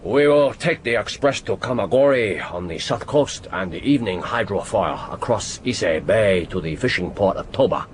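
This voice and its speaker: An actor playing a commanding, wry older Japanese intelligence chief in a radio drama, with a Japanese accent and formal, deliberate phrasing. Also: male voice, lowish voice, lively intonation, natural voice. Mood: wry, instructive. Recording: noisy radio drama recording.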